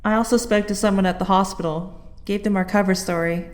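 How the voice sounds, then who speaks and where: A woman playing a calm, dutiful teenage heroine in an audio drama, measured and matter-of-fact. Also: mid-range voice, natural voice. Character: calm, dutiful teenage girl hero giving updates.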